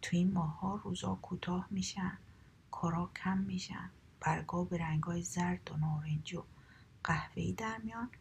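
A woman's voice: fairly low pitch, steady tone, creaky, slight background noise. A woman whispering the part of a kind, cheerful young woman in a storybook reading. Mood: gentle.

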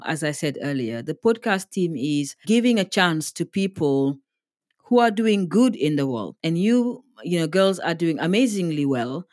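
A woman's voice: deep voice, no room sound, studio quality. A woman hosting an interview podcast, talking with effusive praise for her guests. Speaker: podcast host.

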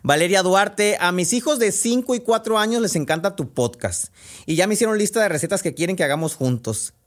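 Man, Sonoran Mexican accent, podcast host, casual folksy delivery, halting phrasing, conversational asides. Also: high-pitched, animated. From Sonora, northwest Mexico. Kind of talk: casual chat, reading out listener messages.

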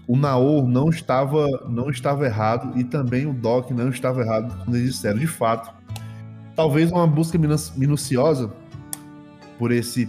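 A Brazilian man improvising tabletop game narration as game master, halting and often repeating his phrases. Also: medium pitch, darkish tone. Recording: long hall reverb, music behind.

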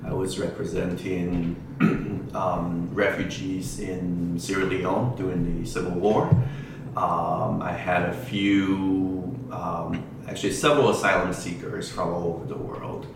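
A man who grew up in Taiwan, a real estate attorney giving halting answers with many ums in a casual interview. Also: sits low, little pitch movement, creaky, background noise. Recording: distant echoey mic with music behind.

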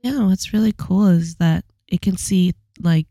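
A woman's voice: on the low side, unhurried, mellow, no echo, clean studio sound. A young woman co-hosting a podcast in laid-back casual chat, her voice worn and losing out. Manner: laid-back, enthusiastic with swearing. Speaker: podcast co-host.

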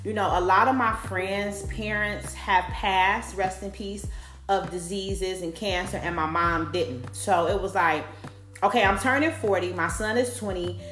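A woman's voice: mid-range voice, fairly bright tone, echoey room. A woman in her forties hosting a podcast, talking candidly in a blunt, profane, conversational way with lots of "like". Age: 40s. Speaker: podcast host. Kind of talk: candid personal monologue.

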